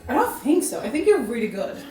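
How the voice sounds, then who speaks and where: A woman's voice: high voice, big pitch swings, fast talker. A woman, chatting casually and playfully at home while filming a vlog. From Sweden. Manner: chatty, playful, laughs easily. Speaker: vlogger. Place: home kitchen and living room. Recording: echoey room with soft guitar music.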